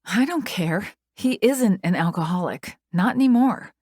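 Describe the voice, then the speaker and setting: A woman's voice: mid-range voice, animated, measured pace, a little mellow, husky, no echo, studio quality, voice pushed up. A woman voicing a desperate, angry young woman patient who screams and pleads. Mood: defiant.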